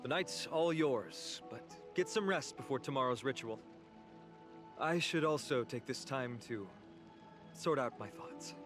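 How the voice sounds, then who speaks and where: An actor playing a courteous, earnest young warrior in a video game, polite, sincere and slightly hesitant, with a quietly troubled air. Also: male voice, medium pitch, animated, measured pace, close to an everyday voice. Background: background music.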